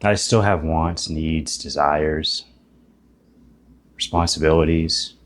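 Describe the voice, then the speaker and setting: Man, 30s, very deep low voice, YouTuber, reflective spiritual monologue, self-deprecating, thinks aloud. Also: slow pace, a little hiss or hum.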